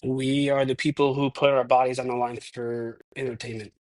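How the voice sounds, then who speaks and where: A man's voice: mid-range voice, level tone, moderate pace, darkish tone. A man of thirty-one, a stuntman guest in a casual interview, talking reflectively with many restarts. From United States. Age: 30s.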